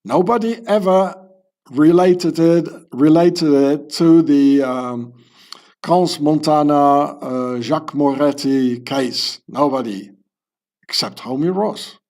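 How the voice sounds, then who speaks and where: A man's voice: a little high, slow pace, a little mellow. A man from South Africa who grew up speaking French at home, giving a rambling commentary to his video channel and asking rhetorical questions. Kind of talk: casual commentary monologue.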